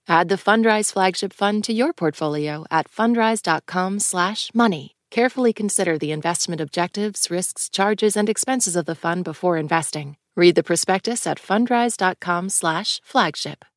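A woman reading a sponsor advertisement in a measured, formal way. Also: on the low side, no echo, clean studio sound. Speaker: sponsor announcer. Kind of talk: read advertisement.

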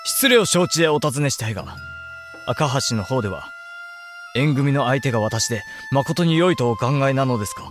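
A man's voice: mid-range voice, lively intonation, normal speed, music in the background, close to an everyday voice. Man acting earnest young samurai lord, formal speech. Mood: earnest, doubtful. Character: young samurai lord Takauji, earnest, self-deprecating bridegroom. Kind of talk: Japanese period audio drama acting.

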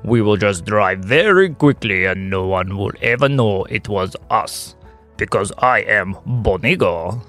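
A man voicing a mischievous, carefree storybook man with a slow, grand, teasing manner. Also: lowish voice, lively intonation, fairly bright tone, soft background music. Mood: gleeful, carefree. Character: Bonigo, mischievous carefree man who enchants rubbish bins. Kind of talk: children's audio storybook character.

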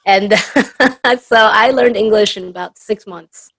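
A woman's voice: mid-range voice, slow, fairly bright tone, dry recording. A woman with Spanish as her first language, from Peru, chatting over a video call as an interview guest and telling stories with lots of fillers. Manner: chatty storyteller, many fillers. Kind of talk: casual interview answers.

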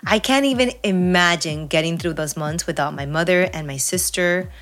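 A woman's voice: fairly low pitch, normal speed, fairly bright tone. A woman from Peru in her thirties, chatting casually and reflectively, with lots of "like" and "you know". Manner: reflective, talks in long flowing runs with many fillers. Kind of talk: casual chat.

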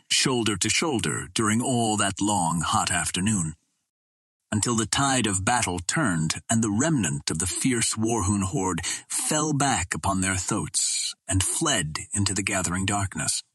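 Low, husky male storyteller. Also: fairly bright tone, no echo, clean studio sound. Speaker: audiobook narrator. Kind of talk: scripted first-person adventure story narration.

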